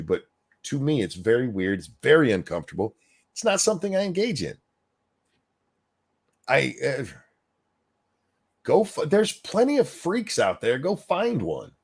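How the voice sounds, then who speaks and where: A man hosting a casual live stream, ranting in a crude, sarcastic way with loud outbursts. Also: medium pitch, very animated, normal speed, creaky, long pauses, breathy edge. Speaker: stream host. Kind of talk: casual profane ranting chat.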